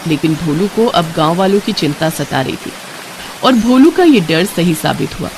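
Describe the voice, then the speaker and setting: Expressive woman narrating a storybook. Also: fairly low pitch, normal speed, background noise.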